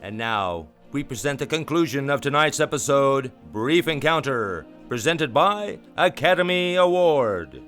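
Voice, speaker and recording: A man's voice: medium pitch, animated, measured pace, hardly any pauses, a bit bright, faint music behind. A man announcing on the radio, reading a scripted promo and programme introduction.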